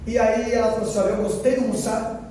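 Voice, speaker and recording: A Brazilian missionary man tells his story from the pulpit, acting out each exchange and repeating lines for weight. His voice sits high but mellow. He is caught from across a reverberant church hall with a faint hum of ambience. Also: flat delivery, fast, recorded from a distance. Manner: storytelling, quoting dialogue, repeating phrases for emphasis. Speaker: missionary preacher. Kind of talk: sermon testimony.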